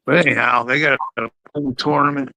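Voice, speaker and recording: A man's voice: medium pitch, steady tone, fairly quick, pushed and bright, no echo, poor audio. A man calling in from a public bar, rambling and joking loosely. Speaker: panel guest streaming from a bar. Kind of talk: casual live stream chat.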